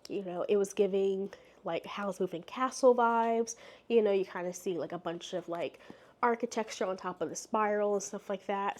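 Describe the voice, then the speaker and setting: A woman's voice: mid-range voice, darkish tone. Young woman chatting, a bit congested. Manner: rambling, many fillers and restarts. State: congested from a cold. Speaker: book vlogger. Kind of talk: casual chatty vlog.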